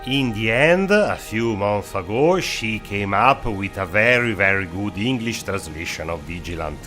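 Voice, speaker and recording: Male game-show host: lowish, forceful voice, emphatic stop-start phrasing, informal. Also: expressive, unhurried, faint music behind. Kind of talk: informal video review narration.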